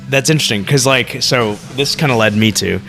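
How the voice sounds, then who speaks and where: A man's voice: medium pitch, brisk, sharp tone, faint music behind. A man from the United States co-hosting a podcast, chatting casually and asking curious questions with many fillers. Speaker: podcast co-host. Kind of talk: casual chat.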